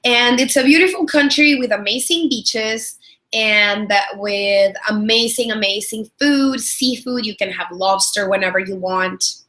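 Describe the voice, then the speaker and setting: A woman's voice: mid-range voice, measured pace, pushed and bright. A woman in her thirties from El Salvador with a Salvadoran Spanish accent, teaching a business webinar in a friendly way, repeating words and using many fillers. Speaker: product strategist and trainer. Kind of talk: webinar training.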